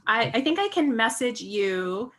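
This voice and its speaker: A woman speaking as a professor in an informal, interactive way, brisk and direct. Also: on the high side, sing-song, a bit bright, a little hiss or hum. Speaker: audio journalism professor.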